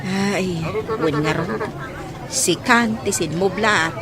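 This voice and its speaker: A woman's voice: medium pitch, takes it slowly, a loud crowd, close to an everyday voice. A woman playing a caring but nagging middle-aged auntie in a radio drama, exclaiming, with an Ilocano accent. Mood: nagging, concerned.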